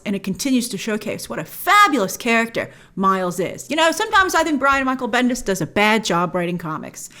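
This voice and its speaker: A woman's voice: fairly high pitch, pushed and bright. A woman hosting a review show, giving opinionated commentary straight to viewers and stressing key words emphatically. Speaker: host of a movie review show.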